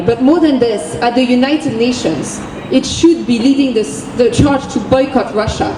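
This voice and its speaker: A woman with a French accent giving an impassioned speech as an activist at an outdoor street rally, driving her points home with repeated phrases. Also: high voice. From France. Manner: forceful, repetitive rallying cadence. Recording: distant, echoey street recording with noise.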